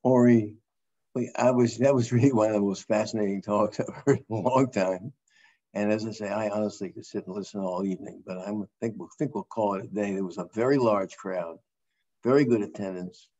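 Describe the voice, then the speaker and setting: An older man moderating a video call Q&A, reading out audience questions in a relaxed, conversational way. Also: lowish voice, a little fast. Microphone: video call webcam. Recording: dull lo-fi call audio.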